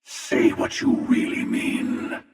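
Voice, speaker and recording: A man voicing a menacing, weary ageless hunter in a video game, sardonic and deliberate, with a gravelly, reverberant otherworldly voice. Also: darkish tone, soft background music. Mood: cold, persuasive. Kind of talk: video game character dialogue. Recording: distant voice with long hall reverb.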